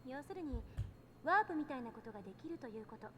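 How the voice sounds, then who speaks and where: A woman's voice: high voice, lively intonation, very fast, fairly bright tone. A woman voice-acting a self-assured eighteen-year-old genius girl in a Japanese visual novel, lecturing in a composed, explanatory way over faint noise.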